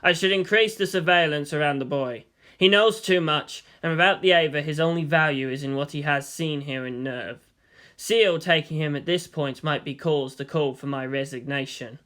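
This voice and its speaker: A narrator voicing a cold, calculating middle-aged male commander in a slow, deliberate, grave manner. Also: mid-range voice, fairly bright tone, close to an everyday voice.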